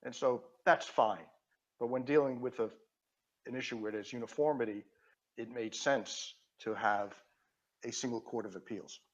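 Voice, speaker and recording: A man with a Queens accent walks through a technical explanation step by step, pausing on fillers and restarting his phrases. His audio has a muffled, low-fidelity edge. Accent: Queens New York. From Queens, New York. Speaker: guest. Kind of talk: casual podcast chat.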